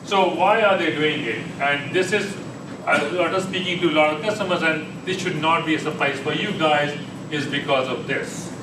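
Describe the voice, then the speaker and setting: A man's voice: a little high, moderate pace. A man giving a conversational tech presentation at a meetup, often restarting his sentences. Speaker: conference speaker. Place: meeting room. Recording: echoey room, distant mic, air conditioning hum.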